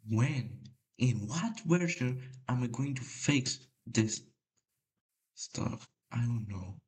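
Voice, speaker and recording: A man whose first language is Spanish, giving casual, halting gameplay commentary with a deep voice and many fillers. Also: expressive, a little mellow. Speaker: gamer.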